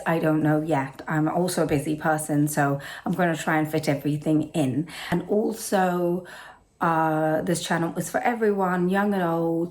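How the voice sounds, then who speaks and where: British woman, hoarse, lowish voice, casual rambling, fillers and restarts, home camera setup. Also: darkish tone. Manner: rambling, full of fillers and self-corrections. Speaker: knitting and travel channel host. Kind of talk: casual channel introduction. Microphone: non-professional camera setup.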